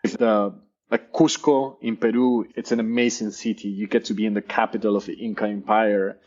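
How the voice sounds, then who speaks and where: A man's voice: fairly low pitch, level tone, fairly quick, darkish tone, muffled recording. A man with an Argentinian Spanish accent, a native Spanish speaker, talking in a casual interview, enthusiastic and often repeating words as he searches for them. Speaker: guest, professor.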